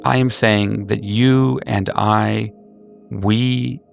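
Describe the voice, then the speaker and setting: A man playing a wounded, exasperated former lover, speaking haltingly and emphatically in a tabletop drama. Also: on the low side, fairly even intonation, vocal fry, soft background music, full character voice. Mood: exasperated, final.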